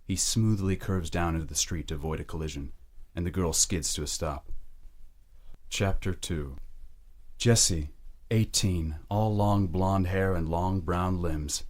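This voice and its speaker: A man narrating a dark romance audio drama in measured, deliberate short phrases. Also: sits low.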